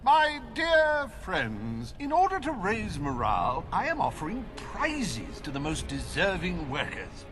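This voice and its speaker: Foppish British villain, high voice, slow mocking drawl, gleefully cruel, video game. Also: male voice, huge pitch swings, over music, exaggerated voice. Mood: smug menace. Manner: theatrical, mocking, languid drawl. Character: gleefully cruel, dandyish male factory tyrant. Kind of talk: video game cutscene acting.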